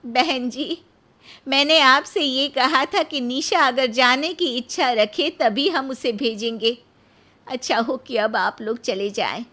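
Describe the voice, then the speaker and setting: Woman playing a motherly, high-voiced mother-in-law. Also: slow pace, sharp tone, exaggerated voice. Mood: firm. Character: kindly, firm mother-in-law protective of her daughter-in-law. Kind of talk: animated moral-story acting.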